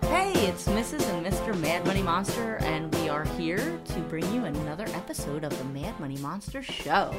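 A woman's voice: lowish voice, big pitch swings, moderate pace, hardly any pauses, loud music behind the voice. A middle-aged woman co-hosting a podcast, chatting casually, laughing often and restarting her sentences. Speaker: podcast co-host. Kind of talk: casual chat.

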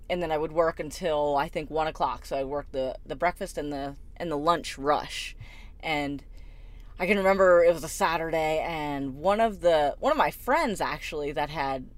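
A middle-aged woman with a low-set voice co-hosts a podcast in a relaxed, chatty way. She rambles through a personal story, full of fillers and false starts, and laughs easily. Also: no room sound. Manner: rambling, laughs often, many fillers and restarts. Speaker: podcast co-host. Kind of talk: casual storytelling chat.

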